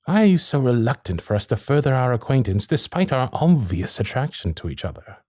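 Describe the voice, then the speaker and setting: Guy acting as a posh victorian suitor, very expressive pitch, flirty and formal, close dry mic. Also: fairly quick, no echo. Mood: earnest, coaxing. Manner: formal, genteel period diction, flirtatious. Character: courtly, infatuated Victorian gentleman suitor, protective and witty. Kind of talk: ASMR romantic roleplay, period piece acting. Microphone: close studio microphone.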